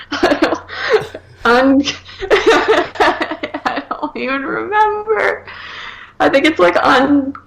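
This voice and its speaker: Creaky-voiced woman chatting casually on a podcast. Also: sits high, extremely slow, pauses often, crowd noise. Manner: hesitant, hedging, heavy use of "like". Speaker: podcast co-host. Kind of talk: casual chat.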